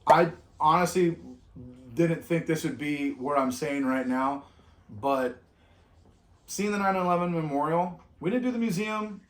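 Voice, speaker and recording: A man's voice: on the high side, measured pace. Middle-aged guy co-hosting a travel vlog, loose rambly talk, lots of restarts, echoey room. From California. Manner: rambling, with many restarts and trailing off. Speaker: vlog co-host. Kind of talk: reflective casual chat.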